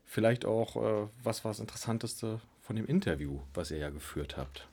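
Give me a low, warm-voiced man hosting a radio show, asking casual follow-up questions. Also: expressive, normal speed, a little hiss or hum. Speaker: radio host.

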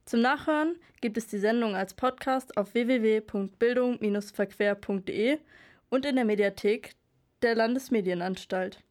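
A woman reading a scripted radio announcement in a studio, speaking clearly. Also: on the high side, moderate pace, darkish tone. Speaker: radio presenter.

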